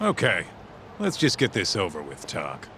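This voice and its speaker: A man's voice: low voice, huge pitch swings, normal speed, background noise. An actor playing a blunt, streetwise tough-guy sidekick in a video game cutscene, crude but loyal. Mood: casual. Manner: blunt, slangy, swearing.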